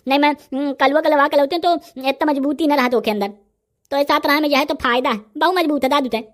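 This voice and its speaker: A man voicing an excitable, gossipy male villager in a rustic comedy cartoon, with a Bundelkhandi accent and artificially raised pitch. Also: a little fast. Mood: chatty, fretful.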